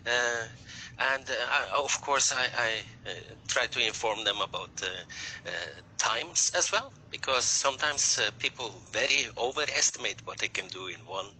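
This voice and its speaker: A man's voice: mid-range voice, animated, normal speed, sharp tone, a little hiss or hum, rough recording. Hesitant man from Norway. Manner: hesitant, many fillers. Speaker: network marketer. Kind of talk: casual group chat.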